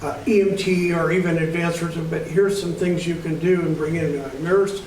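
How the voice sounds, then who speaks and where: High-voiced man explaining training. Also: steady tone, moderate pace, a little mellow, slight background noise, poor audio. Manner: explanatory, rambling with restarts. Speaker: emergency preparedness trainer. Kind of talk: panel answering audience questions.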